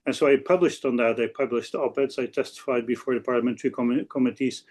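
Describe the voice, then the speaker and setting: A man in his sixties from Belgium, a native Dutch speaker with a Belgian Dutch accent, speaking haltingly as a law professor introducing his work on a webinar. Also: medium pitch, fairly even intonation, a little mellow. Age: 60s. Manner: halting, with frequent hesitations and repeats. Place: office.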